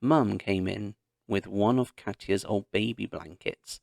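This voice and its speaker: A man narrating a children's story aloud in an expressive, dramatic storytelling manner. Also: fairly low pitch, unhurried, creaky, no echo, clean studio sound. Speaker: audiobook narrator.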